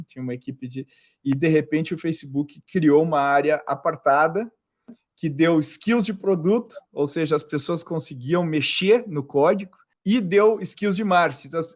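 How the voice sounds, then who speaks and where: A Brazilian man hosting a podcast interview, talking casually and often restarting and repeating words. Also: fairly high pitch. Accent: Brazilian Portuguese. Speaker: podcast host.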